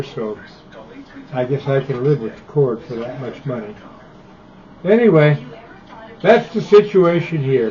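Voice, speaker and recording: An older man in easygoing chat on a ham radio call, talking in a relaxed, conversational way. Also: mid-range voice. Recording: dull, muffled radio audio.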